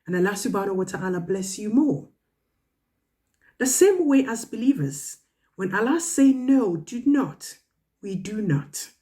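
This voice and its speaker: A woman's voice: mid-range voice, expressive, unhurried, dark tone. A woman storyteller speaking to children, drawing moral and religious lessons in an earnest, emphatic, instructive manner.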